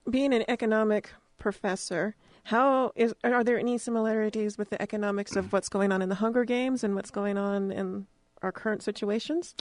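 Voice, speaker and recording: A woman's voice: mid-range voice, fairly even intonation, brisk, no echo. A woman hosting a conversational radio interview, putting questions to a studio guest.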